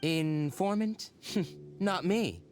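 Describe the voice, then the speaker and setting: A man's voice: on the high side, animated, very slow, darkish tone. An actor playing a coy, secretive young male informant in a video game, evasive and then matter-of-fact.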